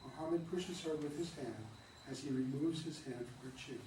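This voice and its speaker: A man's voice: on the high side, normal speed. A man reading a play's stage directions aloud in a plain manner, sometimes stumbling over words. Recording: echoey room, distant mic, light noise.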